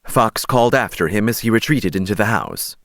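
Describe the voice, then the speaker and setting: A man's voice: fairly low pitch, lively intonation, brisk, fairly bright tone, dry recording, studio quality. A man narrating an audiobook story in a dramatic, scripted reading style.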